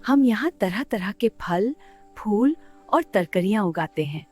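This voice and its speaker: A woman playing a kindly older village farmer in a children's cartoon, speaking gently and clearly. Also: medium pitch, expressive, unhurried, faint music behind. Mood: kindly, content. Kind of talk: scripted children's educational cartoon dialogue.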